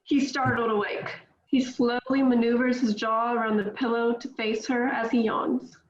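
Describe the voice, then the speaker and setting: A woman's voice: a little high, flat delivery, moderate pace. Woman reading stage directions, echoey. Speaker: script reader. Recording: echoey room, dull lo-fi sound.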